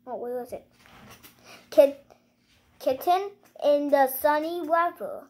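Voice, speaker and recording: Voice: high voice, slow, a little mellow. A young child hosting a read-aloud, reading a picture book with playful asides and exclamations. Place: living room at home, indoors.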